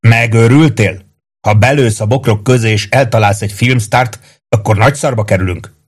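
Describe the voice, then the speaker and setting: A man's voice: medium pitch, slightly rough, natural voice. Audiobook military policeman: male narrator as big, coarse, exasperated man barking at his partner. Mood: alarmed, angry.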